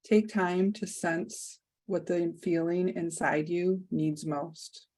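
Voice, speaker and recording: A woman leading a guided meditation, speaking softly and soothingly with long pauses. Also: lowish voice, steady tone.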